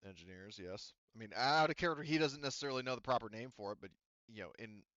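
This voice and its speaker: A man acting as game master, narrating a tabletop game in a casual, halting way and relaying what characters say. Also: mid-range voice, sharp tone, no room sound, lo-fi sound. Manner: hesitant, casual, relaying character speech indirectly.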